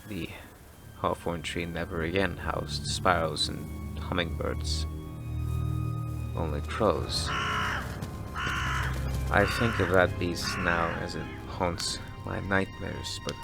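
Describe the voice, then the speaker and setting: An actor playing a haunted, obsessive doctor in an audio drama, telling his diary in a brooding, halting, gravelly voice that turns breathy at times. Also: low voice, steady tone, full character voice. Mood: fearful, haunted. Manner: brooding, deliberate, broken into halting phrases. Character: haunted, obsessive male doctor turned zealous ruler recounting childhood nightmare. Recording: loud music bed under the voice. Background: prominent music.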